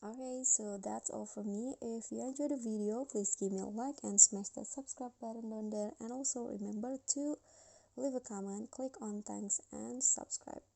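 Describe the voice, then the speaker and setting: A woman's voice: a little high, moderate pace, gravelly, dark tone, poor audio. A woman hosting a spoken step-by-step tutorial for an editing app. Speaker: tutorial host.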